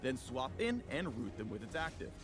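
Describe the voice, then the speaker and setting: Man, scripted game-guide narrator, fast delivery, animated intonation, music bed. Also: medium pitch.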